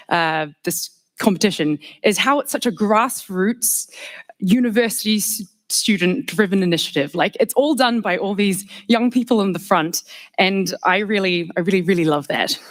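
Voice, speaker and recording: A woman from New Zealand with a clear New Zealand accent emcees a live event in a large hall. She is chatty and joking, laughing often, and speaks into a stage microphone. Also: mid-range voice. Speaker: master of ceremonies. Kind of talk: lively stage hosting.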